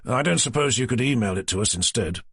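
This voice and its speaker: A man voicing a calm, dry-witted male chief inspector who asks measured, probing questions. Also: medium pitch, husky, no room sound.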